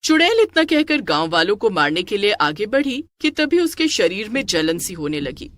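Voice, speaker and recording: A woman's voice: mid-range voice, very animated, moderate pace, fairly bright tone, no room sound. A woman narrating a scripted story in a dramatic storytelling style.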